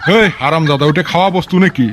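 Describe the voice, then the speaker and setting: A man's voice: a little high, fast, very noisy, natural voice. A man with a northern Bangladeshi Rangpuri accent playing a grumbling, sarcastic, hard-up village tea seller in a comedy cartoon. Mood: wry, grumbling. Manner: complaining, sarcastic. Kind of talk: comedy cartoon voice acting in rural dialect.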